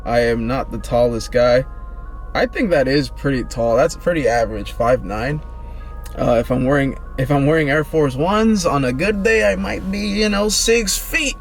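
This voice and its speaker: A man in his twenties, vlogging in a relaxed, rambling, slangy way, answering questions about himself. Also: mid-range voice, normal speed, vocal fry, faint music behind. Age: 20s. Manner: laid-back, rambling, slangy. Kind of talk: casual get-to-know-me chat.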